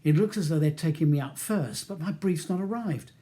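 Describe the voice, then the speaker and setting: Audiobook role: male reader as a thirtyish East End gangster behind bars, mellow, confidential, coaxing, with London vowels. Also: natural voice. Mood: confidential, urgent. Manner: low, fast, confidential, colloquial. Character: cockney gangster prisoner around thirty, urgent and wheedling. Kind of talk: audiobook character dialogue.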